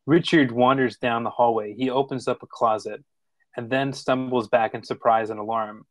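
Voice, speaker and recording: A man reading screenplay stage directions as narrator, informal and slightly halting. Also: medium pitch, fairly even intonation, dry recording, rough recording. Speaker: narrator of a screenplay table read.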